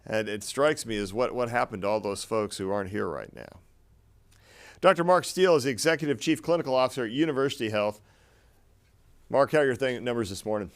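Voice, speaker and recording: A man's voice: mid-range voice, expressive, a little fast. A man hosting a panel of doctors, conversational and collegial, with a folksy touch. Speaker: host, physician moderator.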